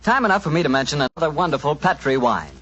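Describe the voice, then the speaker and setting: An American man working as a radio announcer, giving a chatty 1940s sponsor pitch in a salesman-like way. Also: lively intonation, fast talker, a bit bright. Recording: muffled 1940s radio broadcast.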